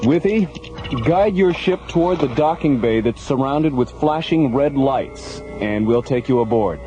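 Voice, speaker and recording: An actor playing an alert, businesslike space-station technician in a sci-fi radio drama, speaking in a matter-of-fact, procedural way. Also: male voice, mid-range voice, expressive, unhurried, mellow, close to an everyday voice, rough recording. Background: dramatic music.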